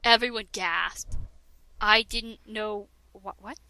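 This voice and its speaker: Woman reading a parody story aloud: bright, slow, giggly, dry close sound. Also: mid-range voice, no echo, rough recording. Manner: giggly, keeps breaking into laughter and sarcastic asides. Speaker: reader of a parody fan fiction. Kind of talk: comedic dramatic reading with a friend.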